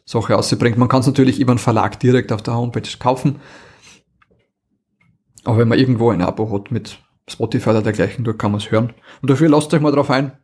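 Austrian man chatting in halting bursts. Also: mid-range voice, darkish tone, husky. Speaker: podcast co-host. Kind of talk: casual review chat.

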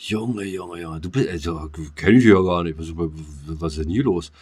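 Low-voiced man chatting in casual slang. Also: moderate pace, darkish tone, slightly rough. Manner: slangy and colloquial, teasing. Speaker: podcast co-host. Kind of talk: casual chat.

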